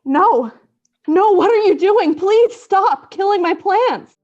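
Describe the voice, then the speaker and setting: A woman's voice: very high-pitched, expressive, slow pace, dull audio, exaggerated voice. A woman playing an intense, obsessive female scientist in a radio play, with earnest, formal, dramatic delivery. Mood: panicked. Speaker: voice actor.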